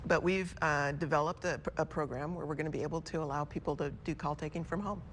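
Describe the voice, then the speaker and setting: A sheriff's office official answering questions in an unscripted broadcast interview, explaining in a measured way. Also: mid-range voice, fairly even intonation, fairly bright tone, slight background noise.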